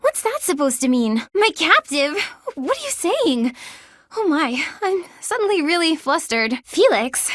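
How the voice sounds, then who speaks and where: An actress playing an earnest, clumsy young woman student in a video game, excitable and easily flustered. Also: very high-pitched, unhurried, no echo, studio quality, full character voice. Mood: flustered, shy. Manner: animated, easily flustered, hums silly made-up songs. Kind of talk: video game character dialogue.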